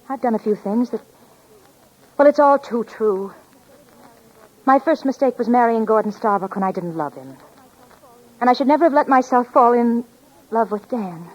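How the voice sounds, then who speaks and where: An actress playing a widowed femme fatale in a 1940s radio drama, halting, guarded and repeating her words. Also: a little high, a little mellow, lightly acted. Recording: muffled 1940s radio recording.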